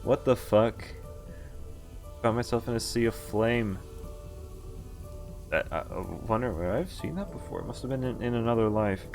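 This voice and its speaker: A man's voice: mid-range voice, normal speed, long pauses, darkish tone, faint music behind. A man streaming a visual novel, reading the text aloud and breaking off into casual asides. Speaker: game streamer.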